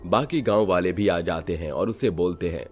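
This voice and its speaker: A man narrating a cartoon horror story in steady storybook style. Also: deep voice, moderate pace, faint music behind.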